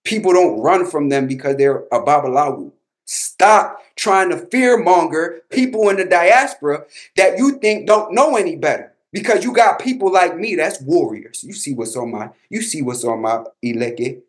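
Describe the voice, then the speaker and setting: A man's voice: a little high, expressive, moderate pace. A man with an American accent giving casual reaction commentary in a combative, emphatic, slangy way, repeating himself for punch. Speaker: host, babalawo commentator.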